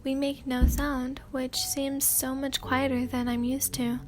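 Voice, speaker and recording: A woman hosting a sleepy ASMR channel, reading fan fiction aloud in a soft, hesitant voice with many fillers and throat clears. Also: sits high, flat delivery, unhurried, slight background noise.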